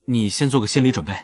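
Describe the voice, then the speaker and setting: A man playing a nosy, excitable young sidekick in an audio drama, firing off prying remarks. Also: mid-range voice, dry recording, close to an everyday voice. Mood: puzzled, curious. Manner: prying, animated. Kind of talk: Chinese audio drama dialogue.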